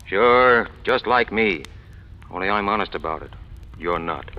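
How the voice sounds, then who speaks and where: A man's voice: low voice, expressive, measured pace. An American man playing a cynical, self-assured racketeer in a 1940s radio crime drama, with wry, slangy tough-guy talk. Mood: cynical, needling. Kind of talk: 1940s radio crime drama acting, first-person narration and dialogue. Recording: muffled old radio recording, music.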